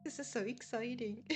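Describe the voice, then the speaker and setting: A German-accented woman with a fairly high voice chats and giggles with her viewers at a slow, easy pace. Soft music plays faintly behind her. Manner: giggly, chatty, often laughs between sentences. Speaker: game streamer. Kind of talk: casual live-stream chat with viewers.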